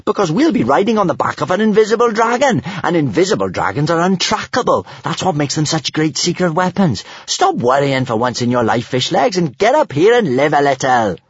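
Bold little Viking girl done by a man, storybook character, teasing, excitable, raised swooping pitch, slightly raspy. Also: normal speed, hardly any pauses, no echo, muffled recording, full character voice.

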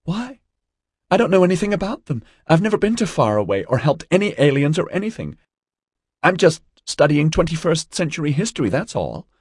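A man's voice: fairly high pitch, very animated, brisk, a little mellow, husky, no room sound, clean studio sound, pitched above the performer's own voice. A man voicing a distraught, naive twenty-year-old woman student in an audiobook, pleading and woebegone. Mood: pleading, bewildered.

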